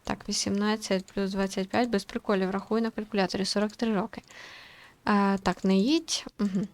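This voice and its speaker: A woman's voice: mid-range voice, flat delivery, normal speed. A young adult woman giving casual let's-play commentary as a gaming host, thinking aloud in an informal, hesitant way.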